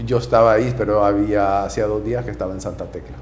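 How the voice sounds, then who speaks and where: A man from Nicaragua, a Jesuit priest, answering interview questions in a soft-spoken, measured way. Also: on the low side, steady tone, echoey room, slight background noise. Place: TV studio.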